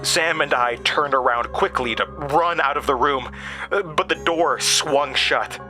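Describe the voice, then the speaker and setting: A man narrating a first-person horror story in a deliberate, halting manner with dramatic pauses. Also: fairly high pitch, pushed and bright, soft background music.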